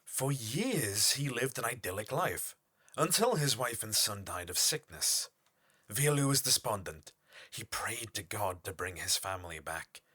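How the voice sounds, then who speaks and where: An actor playing a pompous, obsessive typeface designer, delivering a formal, theatrical monologue for an audio horror drama. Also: male voice, medium pitch, sharp tone, breathy edge, full character voice.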